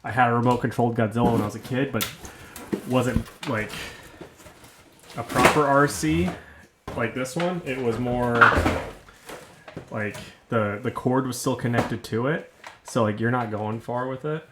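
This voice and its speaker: Gravelly-voiced man, easygoing and rambling. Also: medium pitch, measured pace, pauses often, very noisy. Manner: easygoing, rambling, self-mocking. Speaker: vlogger unboxing toys. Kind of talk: casual unboxing chat.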